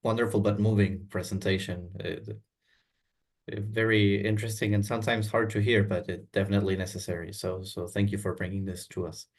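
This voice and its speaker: A man moderating a webinar who hesitates often and fills his pauses with "uh". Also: on the low side, steady tone. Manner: hesitant, many fillers.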